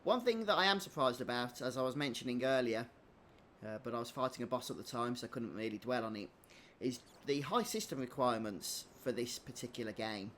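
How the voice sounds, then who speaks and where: A man's voice: medium pitch, lively intonation, brisk, a bit bright, slight background noise. A man hosting a gaming video, giving casual, conversational commentary over gameplay. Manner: chatty, conversational.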